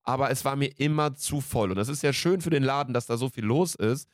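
A man's voice: medium pitch, brisk, no room sound, clean studio sound. A man in his late twenties co-hosting a podcast in casual, slangy, jokey banter. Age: late 20s. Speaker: podcast co-host.

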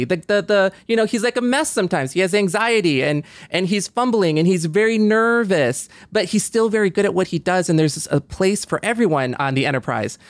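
A woman with a very low voice co-hosts a casual chat. She speaks informally and ramblingly, repeating words as she goes, with a close, dry sound. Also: dry recording. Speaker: podcast co-host.

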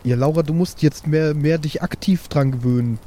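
A man's voice: a little high, normal speed, mellow, close to an everyday voice. A man playing a dopey, easygoing young festival guy in improvised audio roleplay, slangy, hesitant and earnest. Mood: laid-back. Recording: noisy track with chirping crickets.

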